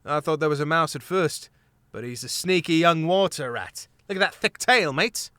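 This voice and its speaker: Casting: jaunty mouse rogue, haughty and lively, a bit raised, read by a man. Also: on the high side, normal speed, smooth, no echo. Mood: teasing. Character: cocky male mouse thief, teasing.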